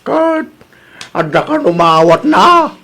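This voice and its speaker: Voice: sits high, slow pace, heavily acted. A performer voicing an old husband in a radio comedy drama, exclaiming and easily delighted. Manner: animated, exclaiming.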